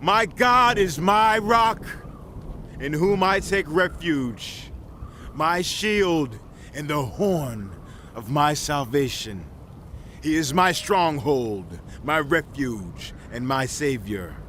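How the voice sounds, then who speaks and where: A man playing a devout warrior king, reciting a psalm of praise in measured, poetic declamation for a dramatized scripture reading. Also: fairly high pitch, very animated, pushed and bright, slight background noise, heavily acted. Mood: reverent. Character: reverent warrior king singing praise to God.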